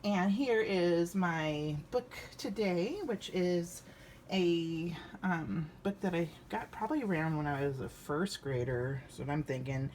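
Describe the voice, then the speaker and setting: A woman's voice: on the low side, a little slow, darkish tone, a little hiss or hum. An American woman in her fifties doing an informal children's read-aloud, with hesitant, rambling asides. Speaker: story reader for children.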